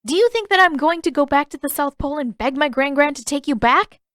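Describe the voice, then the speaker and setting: A woman's voice: high voice, animated, fairly quick, fairly bright tone, no room sound, studio quality, voice pushed up. A woman voicing a defiant, indignant teenage girl in a story reading, arguing in an emphatic, exasperated way. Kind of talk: audiobook-style fan fiction character reading.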